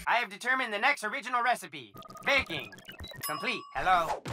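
A man's voice: high-pitched, lively intonation, a little fast. A man voicing a polite but relentless rogue chef robot in a cartoon, clipped and mechanical. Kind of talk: cartoon voice acting. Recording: loud music behind.

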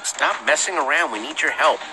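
A man's voice: fairly high pitch, fairly quick, music in the background, natural voice, rough recording. An actor playing an earnest young male hero in a video game, speaking casually but with urgency. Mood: urgent.

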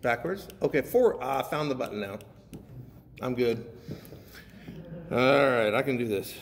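A man's voice: mid-range voice, animated, unhurried. A man preaching a sermon as a guest pastor, reading scripture and explaining it in a conversational, folksy manner with frequent check-ins to his listeners. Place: large echoey room, preaching to a congregation. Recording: echoey hall with faint background noise.

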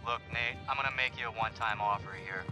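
A man's voice: medium pitch, quick pace, sharp tone. An actor playing a smug, cocky male rival who taunts and drawls in a video game cutscene, with film score music behind. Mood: smug taunting.

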